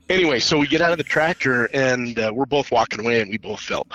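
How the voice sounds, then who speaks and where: A man's voice: mid-range voice, fairly quick, bright, forceful tone, low-quality recording. An older man in a casual chat, telling stories in a chuckling, easygoing way. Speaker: guest.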